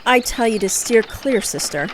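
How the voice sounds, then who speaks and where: A woman's voice: a little high, lively intonation, moderate pace, a bit bright, slight background noise. A woman playing a sassy, wisecracking sister on vacation in an audio drama, with a teasing delivery.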